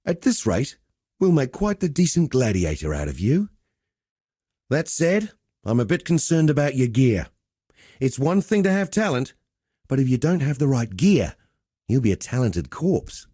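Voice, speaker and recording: A man's voice: medium pitch, very animated, moderate pace, pauses often, warm tone, slightly rough, dry recording, clean studio sound. A man playing a cocky, easygoing gladiator master in a video game, teasing with wry, conversational swagger. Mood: wry, instructive. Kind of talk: video game character dialogue.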